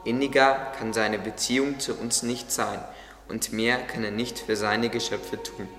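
A man's voice: medium pitch, little pitch movement, measured pace, fairly bright tone, lightly acted. A man playing a devout, solemn gardener who preaches fondly, in a religious radio play. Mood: consoling, reverent. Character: devout, solemn male gardener, fond of his tree. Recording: echoey long reverb, music behind.

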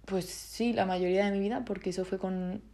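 This is a nineteen-year-old woman with a Spanish accent from Spain, chatting on her own in a casual vlog. She rambles, restarts her sentences often and drops plenty of slang. Her voice sits at an ordinary middle pitch. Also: steady tone, fairly quick, mellow. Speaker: vlogger.

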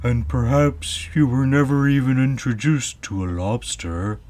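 A man's voice: mid-range voice, unhurried, a little mellow, voice pushed up, lightly acted. A man voicing a sad, tearful, earnest sea turtle in a storybook. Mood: mournful, earnest. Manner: mournful, solemn, earnest. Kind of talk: storybook character voice.

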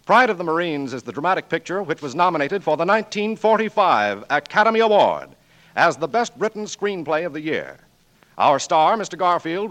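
A man's voice: on the high side, a bit bright. A man announcing a 1940s radio show in a formal, emphatic manner. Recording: 1940s radio broadcast with music.

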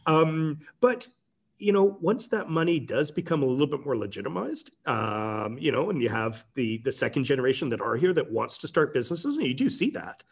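A man's voice: fairly high pitch, sing-song, normal speed, gravelly, breathy edge. A middle-aged man, a company president talking casually as a guest, rambling with many restarts. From Canada. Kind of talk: casual interview talk.

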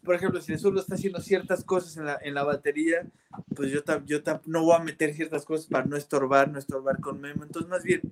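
A man from Mexico speaks as a guest on a video call, emphatic and quick to jump in. His voice is a little high. A clear hiss runs under everything he says. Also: fairly even intonation. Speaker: bassist guest. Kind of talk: casual chat.

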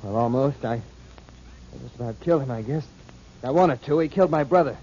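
A man's voice: mid-range voice, a little fast, slight background noise, lightly acted. An actor playing a hot-tempered, grieving man out for revenge in a 1940s radio drama, on a muffled old broadcast. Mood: bitter. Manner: angry, forceful.

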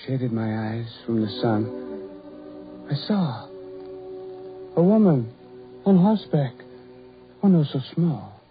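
An actor playing a lonely, self-loathing ugly farm labourer in a 1940s radio horror drama, with halting, repetitive, brooding delivery. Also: male voice, mid-range voice, animated, faint music behind. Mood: brooding, haunted, then agitated, wounded. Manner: halting, repetitive, brooding, building to anguished outbursts. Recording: muffled, tinny 1940s radio recording.

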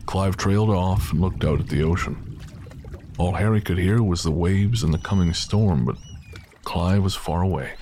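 A man's voice: sits low, normal speed, mellow, a little hiss or hum. A man narrating a scripted audio drama as its storyteller.

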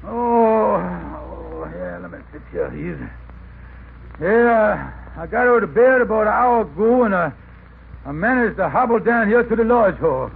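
An aggrieved, scheming husband in a vintage radio comedy. His voice sits high and he blusters in folksy, ungrammatical speech. The recording is narrow and noisy, like an old broadcast. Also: sing-song, a little slow, gravelly, full character voice. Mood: groaning, pained. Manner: blustering, folksy nonstandard grammar. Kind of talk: 1950s radio comedy acting.

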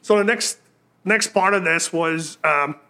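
High bright-voiced man from Romania. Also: unhurried, smooth. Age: 60s. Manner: rambling, many fillers and restarts. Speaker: guest. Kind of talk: casual life-story interview.

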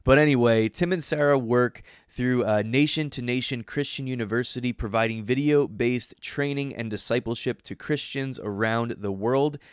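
A man's voice: mid-range voice, moderate pace, few pauses, smooth, no room sound, clean studio sound. A man hosting an interview over a video call, upbeat and enthusiastic. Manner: enthusiastic, warm thanks, frequent fillers. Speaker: podcast host.

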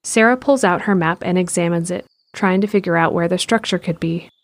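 A woman narrating an audio drama in a calm, measured way. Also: lowish voice, no echo.

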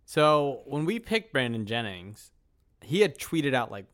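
A man's voice: medium pitch, sing-song, measured pace, fairly bright tone. A man co-hosting a podcast in casual, conversational banter. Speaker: podcast co-host.

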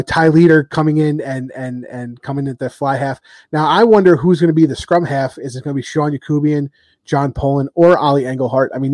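Man, podcast host, casual rugby talk, rambling with fillers, joking jabs, warm tone, close dry mic. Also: medium pitch, no room sound. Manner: rambling, lots of ums, joking trash talk.

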